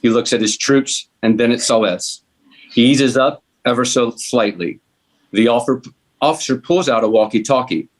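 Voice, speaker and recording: A man reading a screenplay's scene headings and action lines as narrator at a table read, in a measured, plain way. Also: mid-range voice, rough recording. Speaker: stage directions reader.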